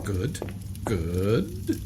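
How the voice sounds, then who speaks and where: A man's voice: on the low side, huge pitch swings, background noise, exaggerated voice. An actor playing a stern but loving older father, speaking formally in a period audio drama. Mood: fond. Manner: deliberate, commanding, formal.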